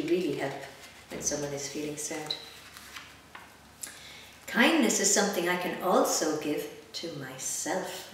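Irish woman, sixties, gentle children's story reader with chatty asides; very echoey room, distant mic. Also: medium pitch. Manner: gentle, reassuring, simple explanations addressed to young children. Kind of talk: picture-book reading with chatty asides to children. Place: small indoor room with bookshelves. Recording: roomy echo, distant mic, background noise.

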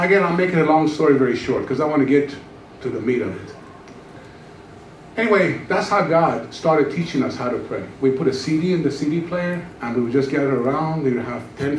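A man's voice: mid-range voice, a little hiss or hum. A middle-aged man preaching a testimony sermon on a church stage into a handheld mic, acting out the dialogue as he tells it. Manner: animated storytelling, acts out dialogue. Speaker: guest pastor. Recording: echoey room from far off.

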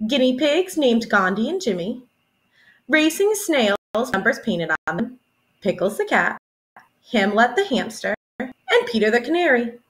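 A young woman reads a storybook aloud for children. Her voice is fairly high and very animated, rising and falling expressively as she tells the story in a teacherly, deliberate way. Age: young adult. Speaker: elementary school teacher reading aloud. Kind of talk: expressive children's picture-book read-aloud. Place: small room at home, indoors.

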